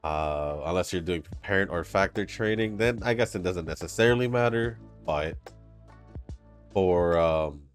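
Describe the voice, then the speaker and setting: A man's voice: low voice, a little slow. A man hosting a gaming channel, chatting casually over gameplay with a stuffy, congested nose, rambling and laughing between comments. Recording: faint game music behind. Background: soft game music.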